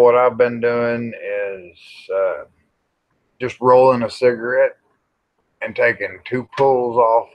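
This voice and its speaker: A middle-aged or older American man with a fairly low voice chats casually to his livestream viewers. He talks very slowly in a folksy, plain-spoken way, rambling along with mild cusses. The audio is a bit dull and lo-fi. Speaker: livestream host.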